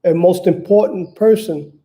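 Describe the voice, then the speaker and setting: Halting high-voiced man with a dark tone. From Manhattan, New York, United States. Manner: halting, stumbles and repeats words often. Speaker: channel host appealing for donations. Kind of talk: casual unscripted livestream talk.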